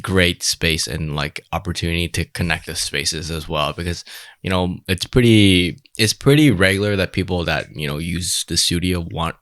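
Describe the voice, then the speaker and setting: Deep voiced guy hosting a podcast, relaxed, dry sound. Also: moderate pace, no echo. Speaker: podcast host. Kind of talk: casual interview.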